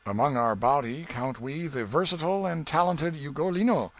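A man's voice: a little high, normal speed, fairly bright tone, pitched above the performer's own voice. A man voicing a boastful, courtly leader of strolling players in a storybook, with florid showman's patter. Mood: grandiose.

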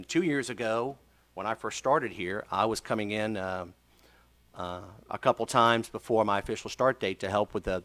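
The low-pitched man you would hear explaining things to the council from the staff seat at a town meeting. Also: fairly bright tone. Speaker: city manager. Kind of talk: formal public meeting remarks. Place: council chamber.

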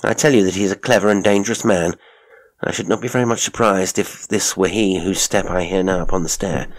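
A man voicing a sharp, commanding male detective in an audiobook, with a brisk, authoritative manner. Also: low-pitched, steady tone, lightly acted, poor audio. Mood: keen. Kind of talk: audiobook character acting.

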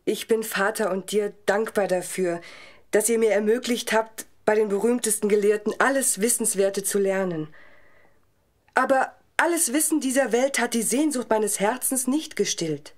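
A woman's voice: medium pitch, moderate pace, natural voice. An actress playing a devout young woman in an audio drama, speaking earnestly and fervently of her faith. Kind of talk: German audio drama acting.

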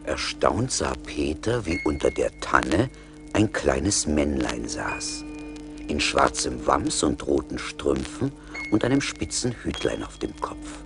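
Deep, gravelly male storyteller. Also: very animated, slow pace. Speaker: narrator. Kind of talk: dramatic fairy-tale narration, 1980s German record. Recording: music behind the voice.

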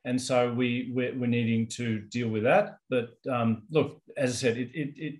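A man's voice: mid-range voice, steady tone, moderate pace, a little mellow. A man in his fifties with an Australian accent, presenting research in a webinar over a video call, in a loose conversational way with many ums and restarts. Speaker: company executive presenting research. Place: indoors, office with branded backdrop.

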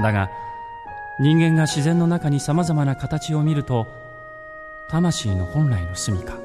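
An actor playing a solemn, impassioned ancient Greek philosopher, declaiming gravely in a radio drama monologue. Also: male voice, medium pitch, close to an everyday voice. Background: music.

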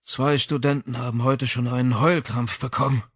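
A man's voice: medium pitch, level tone, moderate pace, a bit bright, breathy edge, dry recording, studio quality. A man voicing a big, tired, irritable and defensive music professor in a crime audiobook. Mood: protesting.

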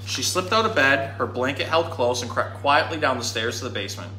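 A man in his thirties reading a children's story aloud in an animated, dramatic storytime manner. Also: mid-range voice, brisk. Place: indoor room. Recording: roomy indoor sound with faint noise.

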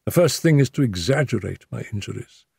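A man voicing an injured detective who mutters in a weak, hoarse whisper, wry and scheming, in an audiobook. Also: medium pitch, animated, fairly quick, darkish tone, no room sound, clean studio sound, pitched above the performer's own voice, full character voice. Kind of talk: audiobook character voice.

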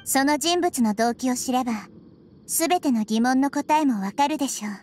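A woman playing a wise, gentle childlike girl deity in an anime game, explaining things in a measured, thoughtful way. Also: high voice, expressive. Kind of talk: Japanese anime game voice acting. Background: background music.

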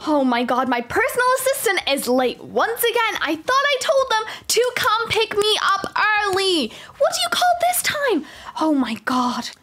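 A spoiled, easily exasperated rich schoolgirl and young mum played by a woman. She has a high voice and sighs and grumbles to herself as she goes through an improvised game roleplay. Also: lively intonation, normal speed. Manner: sighing, complaining, talking to herself.

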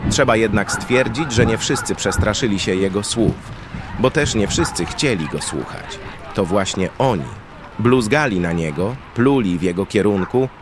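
A man with a low voice narrates a dark fantasy tale. His delivery is scripted and dramatic, like a storyteller in an audio drama. Outdoor ambience is clearly audible behind his voice. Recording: clear background ambience noise.

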